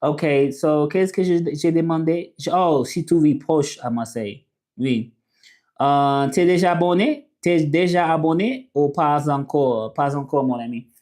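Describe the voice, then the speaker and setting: A man whose first language is English, hosting a casual livestream and reading viewer comments, talking haltingly with many fillers and restarts. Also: fairly high pitch, a little mellow. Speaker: livestream host.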